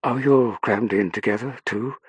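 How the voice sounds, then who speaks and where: A man's voice: mid-range voice, moderate pace, breathy edge, dry recording, pitched above the performer's own voice, close to an everyday voice. A man voicing a confident young woman traveller in an audiobook, polite, poised and assured.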